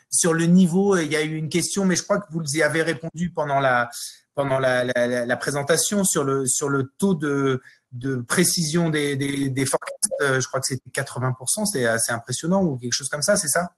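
A man's voice: on the high side, brisk. A man moderating a panel over a video call, asking long questions with many restarts. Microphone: video call webcam.

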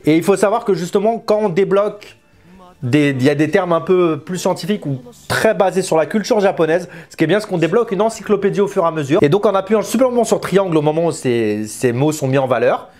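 Guy in his 30s chatting through a game review, voice a bit high, kinda rambly. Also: animated, fast talker, darkish tone. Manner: conversational, rambling, repeats words for emphasis. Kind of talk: casual spoken video review. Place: small indoor room with bookshelves. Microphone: lapel mic.

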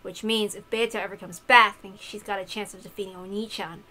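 Serious young woman, as read by a woman, bright voice. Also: medium pitch, steady tone, fairly quick, close to an everyday voice. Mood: questioning. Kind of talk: acted visual novel dialogue.

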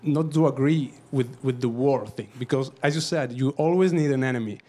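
A man in his thirties with an Italian accent speaks from among an audience, earnest and halting as he hunts for English words. His voice is at a middle pitch, held close to a handheld microphone in a lecture hall. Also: dark tone. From Italy. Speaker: audience member, documentary photographer. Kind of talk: audience question, storytelling. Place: auditorium seating among an audience. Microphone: handheld mic.

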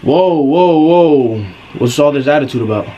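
A man's voice: on the high side, measured pace, noisy background. A man in his twenties making casual prank calls in a laid-back, slangy, mock-earnest way from a bedroom. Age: 20s. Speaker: YouTube prankster. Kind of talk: casual prank phone calling. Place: bedroom, indoors. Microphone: camera mic at about arm's length.

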